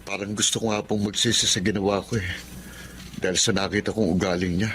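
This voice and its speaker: A man's voice: on the low side, fairly even intonation, fairly quick, background noise, close to an everyday voice. An actor playing a sincere, unsure young man in a radio drama, with a Filipino accent and a hesitant way of speaking. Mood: apologetic, regretful. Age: young adult. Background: music.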